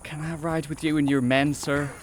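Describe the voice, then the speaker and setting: A man's voice: on the high side, little pitch movement, moderate pace, a little hiss or hum, natural voice. An actor playing an eager, polite young frontier man in an audio drama, hesitant yet determined. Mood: insistent. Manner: polite, hesitant but insistent. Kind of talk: western audio drama acting.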